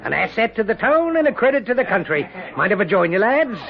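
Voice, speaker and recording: High, gravelly, scheming farmer. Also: male voice, big pitch swings, fast, full character voice. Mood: falsely hearty, wheedling. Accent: American. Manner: wheedling, falsely hearty, mocking. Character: sly, mocking, prosperous older male farmer, wheedling schemer. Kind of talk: old-time American radio drama acting. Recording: dull, band-limited 1970s radio broadcast.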